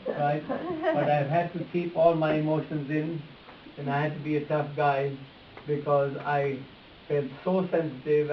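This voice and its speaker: Guy teaching a live demo, talks right at the volunteers, bit high voice, echoey room, mic kinda far off. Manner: hands-on, repeats himself, addresses volunteers directly. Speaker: face reading teacher. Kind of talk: live demonstration, explaining to volunteers. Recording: echoey, distant mic, dull sound with hiss.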